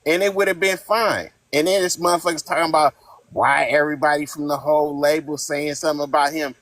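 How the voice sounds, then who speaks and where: A man's voice: fairly high pitch, steady tone, fast talker, fairly bright tone, no room sound. Blunt man in his fifties, dry sound. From Northern California. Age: 50s. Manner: blunt, profane, heated storyteller. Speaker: live-stream caller. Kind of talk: casual podcast chat.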